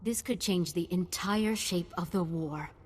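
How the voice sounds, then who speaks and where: A woman's voice: lowish voice, a little slow, husky. A woman playing a gracious, formal noble ambassador in a video game, speaking with diplomatic courtesy. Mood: courteous, earnest. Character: gracious, dignified female ambassador of a noble house. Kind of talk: video game cutscene acting. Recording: long hall reverb.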